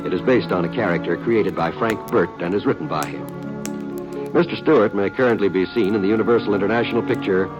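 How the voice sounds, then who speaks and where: An American man making scripted announcements in a formal, measured 1950s network radio style. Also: on the low side. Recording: dull old radio transcription, music behind. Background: music.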